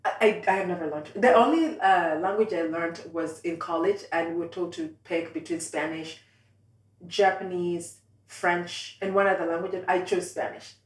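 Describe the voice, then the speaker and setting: A woman's voice: on the low side, moderate pace. A woman with a Swahili-influenced accent, who grew up speaking Swahili, interviewing a guest in casual conversation with lots of encouraging agreement. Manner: chatty, encouraging, many agreeing fillers. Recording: roomy, mic at a distance.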